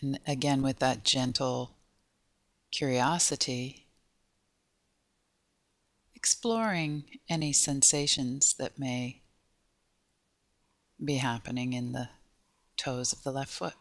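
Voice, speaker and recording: A woman guiding a body scan meditation in a gentle, unhurried way, with pauses between short phrases. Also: a bass voice, no echo. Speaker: meditation guide.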